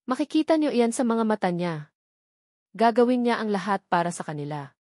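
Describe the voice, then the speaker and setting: A woman's voice: mid-range voice, animated, moderate pace, darkish tone, no echo, clean studio sound, natural voice. Sweet-talking, scheming woman, as a narrator plays her. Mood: false concern. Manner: sweet, falsely sympathetic. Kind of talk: audio story character dialogue.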